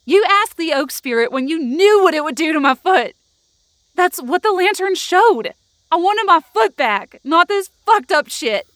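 A woman's voice: very high-pitched, animated, a little slow, fairly bright tone, no room sound, clean studio sound, exaggerated voice. A young actress playing a bitter, foul-mouthed working-class woman from the mountains in an audio drama, confrontational and sarcastic. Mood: furious. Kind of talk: heated audio drama dialogue.

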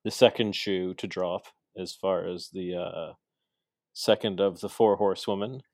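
A man's voice: deep voice, fairly even intonation, unhurried, darkish tone, dry recording, clean studio sound. A man hosting a podcast in a casual, rambling way with many fillers and restarts. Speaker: podcast host. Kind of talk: casual chat.

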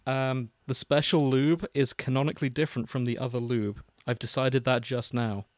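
A man co-hosting a podcast, chatting casually and reading fan fiction aloud with a wry, deadpan manner. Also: mid-range voice, moderate pace, no room sound, studio quality. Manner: wry, deadpan, laughs at his own lines.

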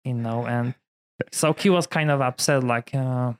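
A Polish-accented priest chats about his life in easygoing English. He talks with plenty of fillers and restarts, at a middle pitch for a man. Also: a little slow, clear, resonant tone. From Poland. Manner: chatty, many fillers like "you know". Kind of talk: casual conversation.

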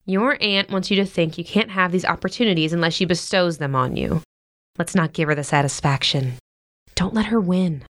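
A woman acting a patient, sensible mother who comforts with gentle firmness in a modern audio drama. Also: lowish voice, moderate pace, natural voice. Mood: reassuring, firm. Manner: soothing, reassuring, gently firm.

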